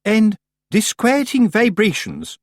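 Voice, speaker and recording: A man voicing a pompous, falsely polite middle-aged woman witch with a high, sugary voice. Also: huge pitch swings, takes it slowly, no room sound, exaggerated voice. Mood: falsely sweet. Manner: falsely polite, gushing, grave.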